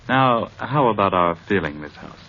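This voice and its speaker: An actor playing an earnest, frustrated husband who longs for a family, insistent and dramatic in old-time radio style. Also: male voice, deep voice, expressive, moderate pace, gravelly, bright, forceful tone, a little hiss or hum, heavily acted. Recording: dull vintage radio recording with music.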